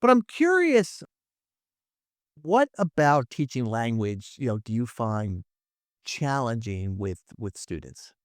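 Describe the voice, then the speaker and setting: A man's voice: medium pitch, big pitch swings, slow, dry recording. Man, conversational interviewer, mid-range voice, informal, rambling questions, dry studio-close sound. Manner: informal, discursive, often checking with "right?". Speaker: podcast host, history teacher.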